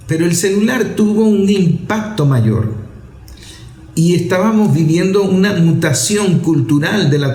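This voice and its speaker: A man giving a reflective talk on society, measured and explanatory, repeating phrases for emphasis. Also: a little high, warm tone, echoey room. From Venezuela.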